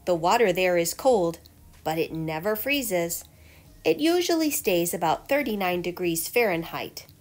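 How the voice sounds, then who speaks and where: A woman's voice: on the low side, lively intonation, a little slow. A woman reading a children's picture book aloud like a teacher, with emphatic storytelling delivery. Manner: clear storytelling delivery with emphatic questions and answers.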